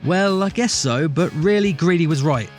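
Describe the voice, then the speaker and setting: A man playing a chatty high-voiced hapless storybook fellow. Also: expressive, moderate pace, faint music behind, natural voice. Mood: wry, chatty. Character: guileless, chatty, accident-prone male storybook character. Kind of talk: mockumentary interview in audio drama.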